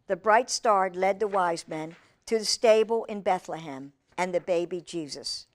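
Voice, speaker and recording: A woman reading aloud to young children in a deliberate, expressive storytime manner, speaking directly to her listeners. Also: lowish voice.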